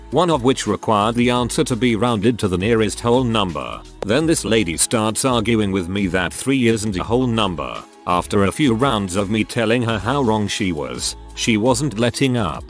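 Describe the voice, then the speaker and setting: A man with a fairly low voice narrates short online stories. His pitch moves around in a lively way as he reads from a script, with faint music underneath. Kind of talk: scripted narration, reading posts aloud.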